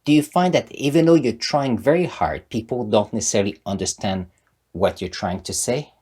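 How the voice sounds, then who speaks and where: A man's voice: medium pitch, animated, normal speed, darkish tone, husky. A man in his sixties with a French-Canadian accent, French his first language, giving an earnest motivational talk to camera as the channel's host. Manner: earnest, emphatic, repeats key words.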